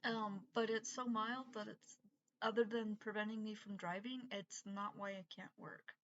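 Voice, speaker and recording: A middle-aged woman with an unusual voice from breathing troubles, rambling in a halting, casual monologue full of fillers and restarts. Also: mid-range voice, little pitch movement, lo-fi sound, a little hiss or hum. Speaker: vlogger.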